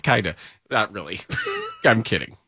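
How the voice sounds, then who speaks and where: A man's voice: on the low side, animated, unhurried, sharp tone, dry recording, clean studio sound. A man hosting a sports radio show, bantering casually, laughing at his own stories and trailing off mid-thought. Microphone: studio microphone.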